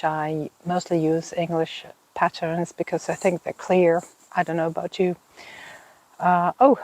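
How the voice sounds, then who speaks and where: A woman in her fifties from Finland, a native Swedish speaker with a Finland-Swedish accent, hosting a knitting podcast in a casual, thoughtful way and pausing often to find her words. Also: on the low side, a little mellow, dry recording, low-quality recording. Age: 50s. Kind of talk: casual chat to camera. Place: outdoors in a home garden.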